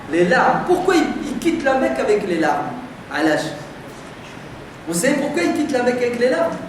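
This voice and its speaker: A man from France giving a sermon to a live audience, firing emphatic rhetorical questions at the crowd in a conversational way. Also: extremely high pitch. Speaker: preacher addressing a community audience. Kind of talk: lively lecture to a live audience. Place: community centre hall. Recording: very echoey hall, distant, noisy.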